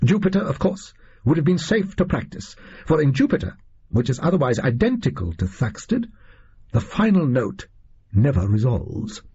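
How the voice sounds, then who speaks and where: A man voicing a self-assured, shrewd gentleman detective in an audiobook story, precise, theatrical and condescending. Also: medium pitch, very animated, normal speed, husky, muffled recording. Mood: amused, assured.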